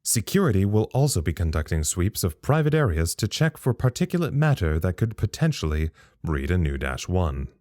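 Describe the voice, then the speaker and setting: A man playing a thoughtful, uneasy log-keeper at a secret research site in an audio drama, measured and confiding. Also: sits low, sing-song, darkish tone, dry recording, clean studio sound. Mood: official, measured. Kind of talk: audio drama monologue as a recorded incident log.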